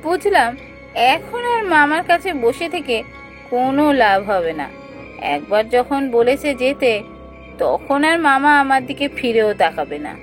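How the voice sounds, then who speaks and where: Woman acting a very high, animated, curious youngster. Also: a little slow, soft background music. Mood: narrating. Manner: inquisitive, expressive. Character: curious, eager young relative narrating a ghostly adventure. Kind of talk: animated story narration and dialogue.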